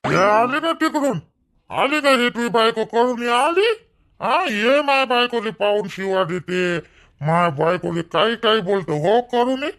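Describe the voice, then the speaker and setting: A man acts out a boastful village drunkard from a cartoon, with a high, gravelly voice. He rambles, repeats himself and picks quarrels in a Vidarbha Marathi accent. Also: lively intonation, unhurried, fairly bright tone, heavily acted. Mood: belligerent. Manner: rambling, challenging, repetitive. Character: drunk, boastful, quarrelsome village elder brother, doting father.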